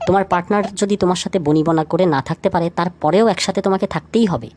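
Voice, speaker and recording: A woman's voice: fairly low pitch, fast, a little mellow. A woman presenting a scripted, light trivia feature, speaking directly to the listener with rhetorical questions. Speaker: lead narrator of a feature video.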